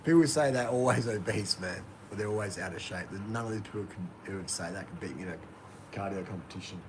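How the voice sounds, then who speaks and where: A man's voice: low voice, fast. Boastful man on a noisy line. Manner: boastful, laid-back storytelling, says "man". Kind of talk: casual chat. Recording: noisy line with clear background hiss.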